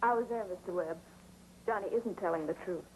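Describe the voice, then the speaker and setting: An actress playing a bright, inquisitive young sleuth in a 1950s TV drama. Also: female voice, fairly low pitch, a little fast, lightly acted. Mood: firm. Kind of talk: 1950s American TV drama acting. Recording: very noisy old TV soundtrack.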